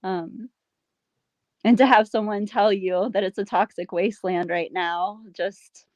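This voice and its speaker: A middle-aged woman from Pennsylvania, a local resident speaking as a guest in a casual conversation, halting and emotional with soft sighs. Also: medium pitch, steady tone, no room sound. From Pennsylvania, USA.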